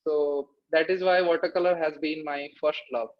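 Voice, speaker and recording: Halting Indian man, slightly high voice. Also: fairly even intonation, dull audio. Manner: halting, hesitant delivery with many pauses. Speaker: interview guest, artist. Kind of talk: casual interview answers.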